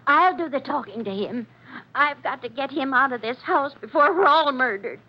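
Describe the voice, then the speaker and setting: A high-pitched, middle-aged landlady in an old radio melodrama. She is suspicious and bossy, always insisting and accusing. The sound is muffled, like an old broadcast. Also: animated, moderate pace, noisy background. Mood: angry, commanding. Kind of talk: 1940s radio melodrama acting. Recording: muffled 1940s radio recording.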